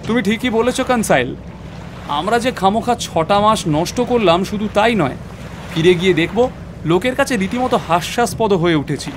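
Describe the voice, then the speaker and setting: An actor playing an adventurer who narrates a suspenseful sea voyage in a dramatic, literary storytelling style. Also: male voice, sits high, lively intonation, normal speed, background noise. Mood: resigned.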